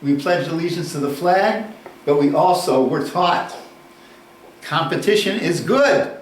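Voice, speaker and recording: A man giving a university lecture, deliberate and unhurried, posing questions and then answering them. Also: fairly high pitch. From United States. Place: lecture room. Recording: echoey room, distant mic, faint ambience.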